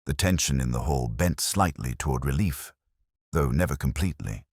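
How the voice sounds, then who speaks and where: Give me a very low man narrating a mystery with lively, measured storytelling. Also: no room sound, studio quality.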